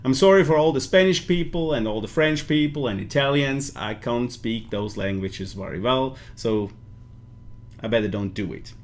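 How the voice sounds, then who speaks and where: Male host with Swiss German accent, hesitant and chatty, mid-pitched. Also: normal speed. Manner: hesitant, many fillers and restarts. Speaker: livestream host. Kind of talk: bilingual live stream talk.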